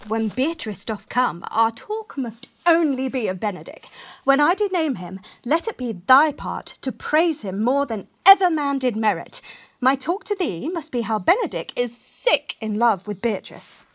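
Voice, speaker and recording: A woman playing a lively, mischievous young gentlewoman in a Shakespearean radio play, with theatrical, emphatic delivery. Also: on the high side, a little slow. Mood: conspiratorial, brisk. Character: lively scheming young gentlewoman about to be married. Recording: recorded separately at home.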